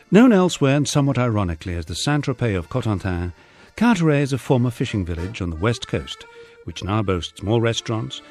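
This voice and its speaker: A man's voice: medium pitch, a little mellow, breathy edge, no echo. A man narrating a first-person memoir audiobook in a wry storytelling manner.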